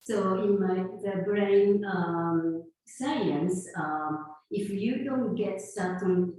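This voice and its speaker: Slow Japanese-accented woman in her fifties. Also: mid-range voice. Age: 50s. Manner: unhurried, halting, soft laughs between thoughts. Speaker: guest, sound healer. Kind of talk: conversational interview. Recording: roomy echo, far from mic.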